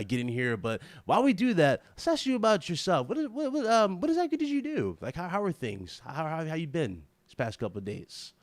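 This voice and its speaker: A man's voice: on the high side, no room sound, clean studio sound. Hyped, stumbling male podcast host. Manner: hyped, stumbles and repeats words. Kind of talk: casual live podcast interview.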